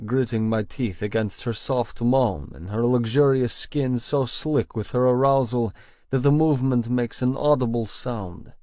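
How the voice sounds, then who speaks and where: Gravelly, slow male audiobook narrator. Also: medium pitch, no room sound, muffled recording. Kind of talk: first-person romance audiobook narration.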